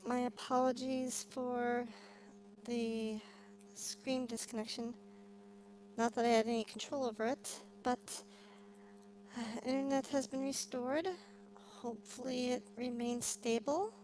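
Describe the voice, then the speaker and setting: Female game streamer, fairly high voice, slow casual chat with hesitant pauses, some background noise. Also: fairly even intonation, a little mellow. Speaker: livestreamer, vtuber. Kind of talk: casual livestream chat while gaming. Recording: clearly noisy, humming background.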